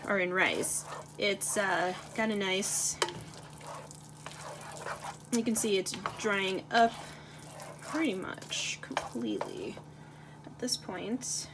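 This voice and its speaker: Chatty woman cooking in her kitchen. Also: mid-range voice. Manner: chatty, many fillers, talks while stirring. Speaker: cooking channel host. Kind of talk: casual cooking demonstration. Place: home kitchen. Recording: audible kitchen noise. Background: frying and stirring sounds.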